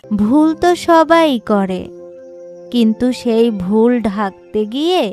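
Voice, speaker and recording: A woman narrating a scripted children's moral story in a measured, instructive way. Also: a little high, expressive, a little mellow. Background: background music.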